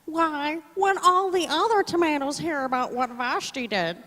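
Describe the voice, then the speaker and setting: An actress playing a cheeky, brash gangster adviser in a radio play, full of old-time slang. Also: female voice, high voice, moderate pace, pushed and bright. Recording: hall with long reverb.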